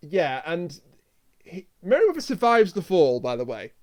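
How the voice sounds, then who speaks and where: Casting: male podcast co-host, high-pitched voice with lively swings, casual review chat. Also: moderate pace.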